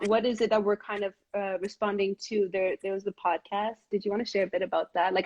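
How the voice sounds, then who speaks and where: A woman's voice: medium pitch, dull audio. A woman from Montreal hosting a live conversation, talking earnestly with lots of fillers and restarts. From Montreal, Canada. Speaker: host of a live video chat. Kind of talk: casual heartfelt conversation. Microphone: live stream device mic.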